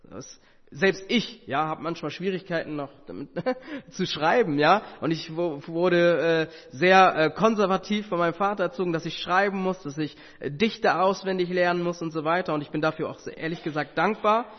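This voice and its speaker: A man's voice: on the high side, moderate pace. A man whose first language is Tamil, preaching an earnest sermon with rhetorical questions and occasional hesitations. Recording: muffled sound with long hall reverb.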